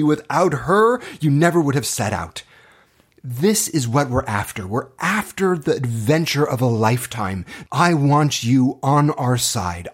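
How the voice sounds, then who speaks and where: A man speaking as an acting teacher, telling personal stories to motivate students in an earnest, impassioned way with dramatic, emphatic phrasing. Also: medium pitch.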